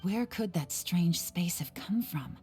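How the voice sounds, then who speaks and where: A woman's voice: on the low side, lively intonation, takes it slowly, dark tone. A woman voicing a composed, teasing female spy with a wry, slightly menacing manner in a game cutscene. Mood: thoughtful. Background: game music.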